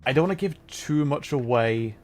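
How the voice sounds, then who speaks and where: A man gives casual, loose commentary while livestreaming a game. His voice sits at a middling pitch, often half talking to himself, with faint music behind him. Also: animated, normal speed, darkish tone. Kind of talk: casual gameplay commentary and chat.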